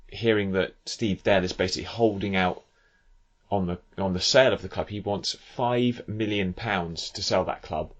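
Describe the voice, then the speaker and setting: A hoarse man hosting a football podcast, talking in an earnest, measured way with frequent fillers. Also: low-pitched, highs cut off. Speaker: podcast host. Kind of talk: casual football podcast chat.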